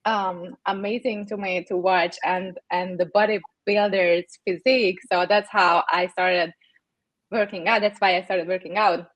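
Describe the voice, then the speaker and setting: A young woman with a Hungarian accent, a native Hungarian speaker, telling her story as a podcast guest in an animated way. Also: lowish voice, measured pace, no echo, poor audio. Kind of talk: interview storytelling.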